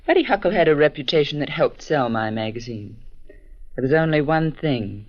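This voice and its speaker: A slightly high voice on a dull old radio recording: a cool, candid magazine publisher. The delivery is composed and wry, in 1940s drama style. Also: male voice, very animated, normal speed.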